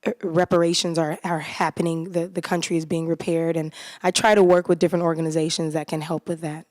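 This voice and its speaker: Earnest low-voiced woman on a theater stage. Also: darkish tone, no echo. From United States. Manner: earnest and heartfelt. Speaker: cast member in a post-show talkback. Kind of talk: post-show panel talk.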